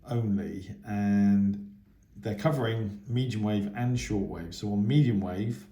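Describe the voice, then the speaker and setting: A man giving a casual, unscripted radio hobby news update in a hesitant, halting way. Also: on the low side, warm tone. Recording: echoey, roomy sound.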